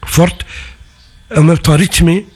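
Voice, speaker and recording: A man from Mauritania whose first language is Arabic, a writer speaking as a radio interview guest in a thoughtful, halting way with many restarts. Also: a little high, fairly even intonation, darkish tone, slightly rough.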